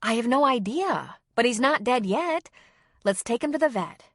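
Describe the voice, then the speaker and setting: A woman's voice: on the high side, animated, moderate pace, no echo, studio quality, voice pushed up. A woman voicing a practical adult woman who gives firm, urgent instructions.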